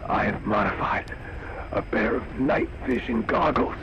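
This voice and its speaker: An actor playing an infected male scientist who speaks slowly and gravely, on a game audio tape. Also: fairly low pitch, natural voice. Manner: slow, grave, halting. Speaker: infected man giving warning. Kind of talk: game audio log acting. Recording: muffled noisy cassette tape.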